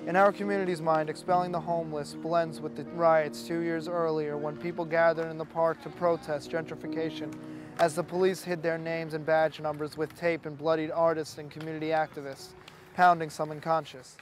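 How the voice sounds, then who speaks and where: Higher-voiced man reading over clear music. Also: flat delivery, fairly quick. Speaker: reader of a memoir. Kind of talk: scripted narration, audiobook reading.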